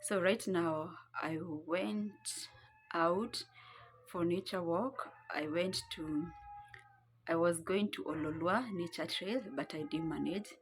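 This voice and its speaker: A young woman with a Kenyan accent tells a story to her vlog camera while sitting on the floor at home. Her voice sits a little low, and she rambles and restarts as she looks for the right words. A faint hum of ambient noise runs behind her. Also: slow. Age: young adult. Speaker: vlogger.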